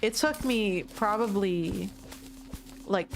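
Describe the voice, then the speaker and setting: A woman's voice: mid-range voice, slow, creaky. A young American woman streaming a game, chatting casually with her viewers, swearing now and then and laughing often. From United States. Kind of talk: casual gaming livestream chat.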